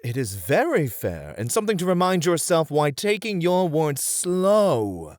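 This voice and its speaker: A performer playing a poised, playful etiquette tutor in a scripted ASMR roleplay, speaking with refined, deliberate phrasing. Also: medium pitch, very animated, a little mellow, dry recording, clean studio sound. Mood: playful instructing.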